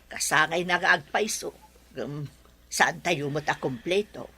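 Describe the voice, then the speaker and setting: A woman playing a forgetful elderly Ilocano grandmother in a radio drama, with a husky, drawn-out voice. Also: low-pitched, a crowd in the background. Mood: worried, regretful. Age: older.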